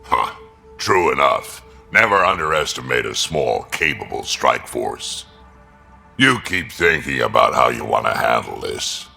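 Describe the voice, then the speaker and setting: An actor playing a gruff, ruthless, battle-hardened alien warrior in a video game, with a blunt, clipped way of talking. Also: male voice, sing-song, a little slow, pushed and bright, soft background music, heavily acted. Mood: resolute. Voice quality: very deep, gravelly.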